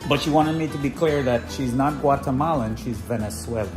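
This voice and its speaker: A man's voice: medium pitch, fairly quick, music in the background. A man hosting a casual vlog, explaining a recipe and often checking in with short tag questions. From Trinidad. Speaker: vlog host. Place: home kitchen.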